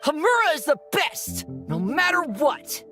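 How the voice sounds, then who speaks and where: High, wildly animated little creature. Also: female voice, a little slow, soft background music, full character voice. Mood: proud, adoring. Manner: chanting in simple bursts and rhymes. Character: small excitable imaginary-friend creature, fiercely loyal, boisterous. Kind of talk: animated game dialogue.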